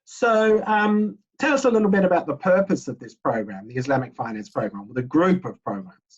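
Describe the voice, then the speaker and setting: Husky older man interviewing on video call. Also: on the high side, expressive, brisk. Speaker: interviewer, university associate dean. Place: small home room, indoors. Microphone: computer microphone on video call. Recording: dull, lo-fi video call audio.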